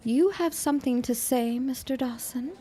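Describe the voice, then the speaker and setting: A woman's voice: high-pitched, moderate pace, dark tone, breathy edge. A woman acting a brusque, flirtatious and world-weary secretary in a 1910s period audio drama, with curt, slangy, sardonic delivery. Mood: irritated, sardonic.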